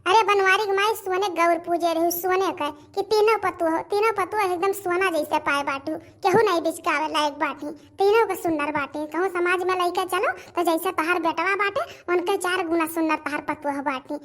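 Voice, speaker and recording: An extremely high-pitched village housewife from a cartoon family comedy, acted by a woman. She has a rustic Awadhi accent and talks in a chatty, repetitive, gossipy way, like an in-law with opinions on everyone. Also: moderate pace, few pauses, fairly bright tone. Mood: bossy, welcoming. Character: village women of a household, gossiping, admiring and grumbling in-laws.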